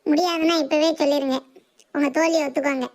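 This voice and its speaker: A woman voicing an assertive, sharp-tongued housewife and mother in a comic cartoon. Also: high-pitched, steady tone, fast talker, lightly acted. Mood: bossy. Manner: bossy, challenging, sarcastic.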